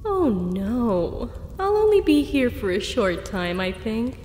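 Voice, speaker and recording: Woman as a slow, echoing, sinister bug-queen schoolteacher. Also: fairly high pitch, sing-song, a little mellow. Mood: evasively pleasant. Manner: slow, sweetly menacing, measured. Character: sinister shapeshifting bug queen posing as sweet schoolteacher mare. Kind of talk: audio fan-fiction drama. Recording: echoey hall reverb, music behind. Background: background music.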